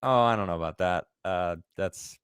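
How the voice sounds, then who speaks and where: A man from Chicago hosting a casual live-stream talk show. He laughs often and reads viewer comments aloud in a lively voice. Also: low-pitched, normal speed, clear, resonant tone, no echo, studio quality. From Chicago, United States.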